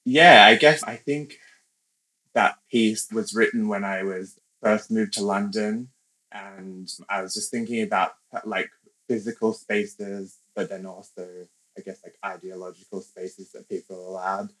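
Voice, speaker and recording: Soft-spoken low-voiced man, flat delivery. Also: unhurried, rough recording. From Australia. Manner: reflective, soft-spoken, many fillers. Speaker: podcast guest. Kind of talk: casual interview talk.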